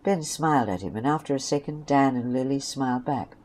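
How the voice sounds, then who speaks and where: A woman's voice: a bass voice, brisk, a little mellow. A woman reading scripted story narration for an amateur audio drama.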